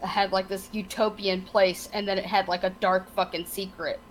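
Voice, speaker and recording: A woman's voice: mid-range voice, flat delivery, normal speed, poor audio. A woman chatting casually on a podcast panel in a slangy way, swearing and often saying "like". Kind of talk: casual chat. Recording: clear background noise.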